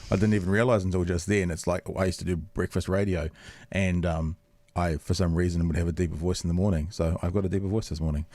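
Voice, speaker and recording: A man's voice: low voice, steady tone, fast talker, darkish tone. A man hosting a radio interview, chatty and self-deprecating, rambling with lots of restarts. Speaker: radio host. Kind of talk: casual interview chat. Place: radio studio.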